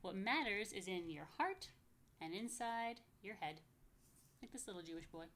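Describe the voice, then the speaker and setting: American woman for kids' story time, expressive swooping intonation, chatty and questioning, slight background noise. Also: medium pitch, normal speed. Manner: expressive, asks listeners playful questions. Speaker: rabbi, story time host for young children. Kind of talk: children's picture book read-aloud with chatty asides.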